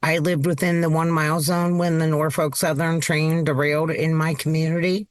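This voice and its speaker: A man's voice: on the high side, level tone, normal speed, no echo, clean studio sound. A man from Ohio with an American accent, giving personal testimony as a resident turned advocate. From Ohio, United States. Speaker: affected resident and advocate, interview guest.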